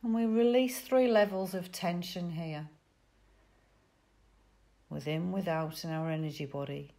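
Very slow, low-pitched woman guiding relaxation. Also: steady tone, dark tone. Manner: soothing, unhurried instruction with pauses. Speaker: yoga nidra teacher. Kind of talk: guided relaxation meditation.

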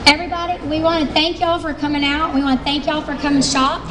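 A woman's voice: high voice, level tone, fast, noisy background. A woman with a Southern US accent announcing a live fashion show at an expo, talking in a chatty, folksy sales patter with plenty of fillers. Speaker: fashion show emcee and booth owner. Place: large expo hall stage. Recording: distant voice over loud music in a hall.

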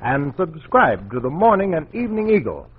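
A man's voice: a little high, very animated, normal speed, slightly rough. An American man announcing a scripted 1940s radio program in a formal, declamatory way. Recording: tinny vintage 1940s radio broadcast.

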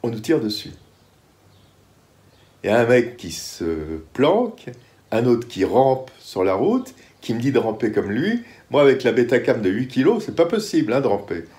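A man in his sixties recalling his years as a war cameraman in a casual, lively storytelling way, talking at home in his living room. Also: medium pitch. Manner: lively storytelling, quick bursts. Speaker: former war cameraman recounting. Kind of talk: casual spoken recollection.

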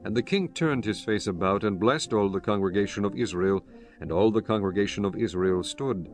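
Low-pitched, measured male scripture narrator. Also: darkish tone. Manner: measured, formal. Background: music.

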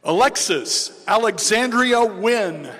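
Man, name announcer, high voice, slow and clear, podium mic, echoing arena. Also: lively intonation, a bit bright. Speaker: name reader at graduation. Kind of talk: reading out graduate names. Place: large indoor arena. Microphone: podium microphone. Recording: long reverb of a large arena.

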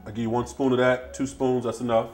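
A man's voice: medium pitch, flat delivery, quick pace, over music. A middle-aged man hosting a casual cooking demonstration in a home kitchen, chatting easily while he works. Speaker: cooking show host.

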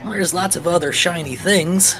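A man's voice: high voice, normal speed, music in the background, voice pushed up, close to an everyday voice, low-quality recording. A man playing a folksy drone owner in a tabletop game, cajoling and pleading.

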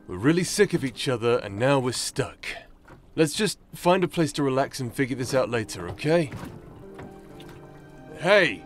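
Man playing a swaggering bastard knight, medium pitch, brash, vulgar, flirtatious, fantasy audio drama. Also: sing-song, moderate pace, faint music behind, close to an everyday voice. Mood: exasperated. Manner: brash, teasing, crude. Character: cocky, vulgar, flirtatious bastard knight paladin, younger brother. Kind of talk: anime-style audio roleplay acting.

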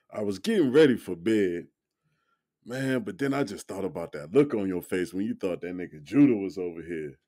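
Man playing deep-voiced, protective young guy. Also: warm tone. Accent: American. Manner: informal, slangy, earnest. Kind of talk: audio drama dialogue, slangy.

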